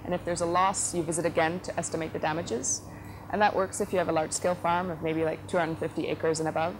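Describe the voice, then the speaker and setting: Middle-aged woman, low flat voice, lots of ums and restarts, noisy background. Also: quick pace. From Netherlands. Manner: thoughtful, frequent fillers and self-corrections. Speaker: company CEO, interview guest. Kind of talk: relaxed conversational interview answers. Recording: noticeable background noise.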